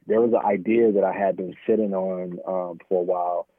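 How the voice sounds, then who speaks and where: American guy in a candid interview, kinda halting and informal, low husky voice, dry thin phone sound. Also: steady tone, no room sound, narrow, tinny audio. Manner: informal, halting, peppered with "man" and "you know". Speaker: interview guest, entrepreneur.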